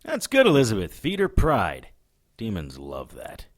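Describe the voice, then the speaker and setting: Sardonic hard-boiled investigator with lively intonation. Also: male voice, lowish voice, measured pace, exaggerated voice. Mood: dry, impatient. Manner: dry, wisecracking, sarcastic. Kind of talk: audio drama acting.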